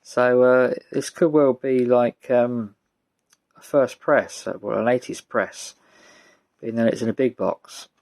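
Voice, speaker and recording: A British man giving a casual, rambling show-and-tell as a vlogger, speaking in a halting, low-key way. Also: steady tone, a little mellow. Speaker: vlogger showing a music collection.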